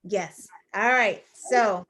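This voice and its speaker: A woman's voice: medium pitch, drawn-out words, a bit bright. A woman co-hosting an online news show, running a trivia game in casual chat and laughing often. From United States. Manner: laughs often, playful quizmaster. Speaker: co-host.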